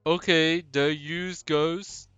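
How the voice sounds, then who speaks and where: A man plays an earnest, simple-minded video game ogre with a slightly raised, clean voice. He talks slowly in childlike broken grammar, cautioning whoever listens. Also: fairly high pitch, steady tone, fairly bright tone, smooth, no room sound, muffled recording, soft background music, voice pushed up. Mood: cautious. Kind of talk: hobby game voice acting.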